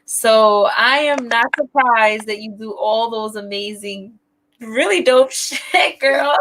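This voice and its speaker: A woman's voice: a little high, takes it slowly, fairly bright tone, a little hiss or hum, poor audio. A young woman hosting a live online talk from her room, upbeat and slangy, with frequent laughs. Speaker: host. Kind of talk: casual live-stream chat. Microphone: video call.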